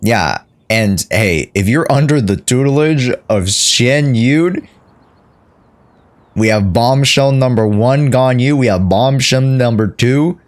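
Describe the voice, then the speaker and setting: A man streams a game with casual, joking commentary. He talks very slowly in a medium-pitched voice, chatting to his viewers as he reacts. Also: lively intonation. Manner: joking, mimics the game's lines.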